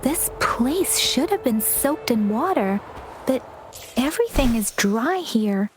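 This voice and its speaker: A woman voicing a gentle, earnest young female outrider in a video game cutscene, speaking softly and reflectively with hesitant pauses. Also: high voice, sing-song, slight background noise, full character voice. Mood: quiet wonder. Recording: game music behind the voice. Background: game soundtrack music.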